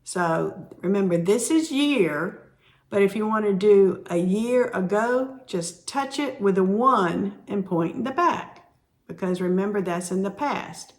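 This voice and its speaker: A woman teaching sign language, explaining each sign slowly and repeating the words as she demonstrates. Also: medium pitch, lively intonation. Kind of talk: slow instructional explanation.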